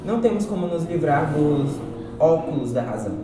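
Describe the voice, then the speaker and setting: A man's voice: a little high, sing-song, normal speed. A Brazilian man playing a patient male philosophy teacher who explains things didactically in an amateur radio drama. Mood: patient, explanatory. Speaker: actor in a student radio drama. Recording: very echoey, distant, loud music behind.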